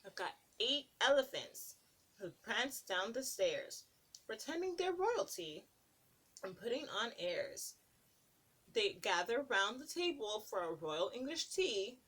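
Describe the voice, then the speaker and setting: A preschool teacher reads a picture book aloud in a sing-song way, her voice rising and dipping a lot. She pitches slightly high and keeps stopping to ask the children what comes next. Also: big pitch swings, unhurried, a bit bright, poor audio. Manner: sing-song, asks children questions between pages.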